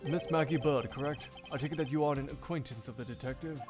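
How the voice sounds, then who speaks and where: A man voicing a cool, formal male prosecutor in a live one-man fan dub of a game, speaking in a low, measured, clipped way. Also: music in the background, close to an everyday voice.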